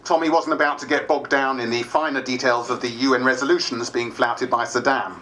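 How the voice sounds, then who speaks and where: A man's voice: mid-range voice, steady tone, brisk, pushed and bright, slight background noise, natural voice. A man playing a wry, candid diarist who is new to politics, narrating dramatised diary entries.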